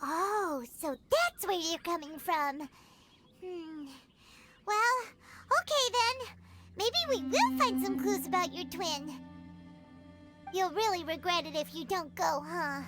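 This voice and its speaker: Very high squeaky childlike fairy sidekick. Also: female voice, slow, full character voice. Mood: indignant, grudging. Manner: speaks of herself in third person, expressive, hesitant stammers. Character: tiny childlike floating fairy companion, excitable, easily spooked, bossy. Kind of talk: animated video game voice acting. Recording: loud music behind voice. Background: game music.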